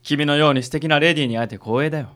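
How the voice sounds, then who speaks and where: A woman playing a suave, flirtatious handsome gentleman with a theatrical, courtly manner in a comedic radio drama. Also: low-pitched, rapid-fire, close to an everyday voice. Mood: charmed.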